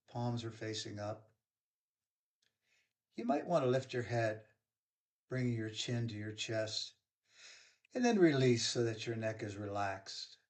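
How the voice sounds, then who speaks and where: A man leading a guided yoga nidra relaxation, giving soothing, unhurried instructions with pauses. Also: medium pitch, darkish tone.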